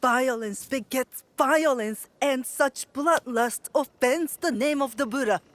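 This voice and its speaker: Slow, high-voiced, grave nun. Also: female voice, dry recording. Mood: reproachful. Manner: grave, moralizing. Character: pious, sorrowful, reproachful temple nun. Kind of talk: video game cutscene acting. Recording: light ambient noise behind.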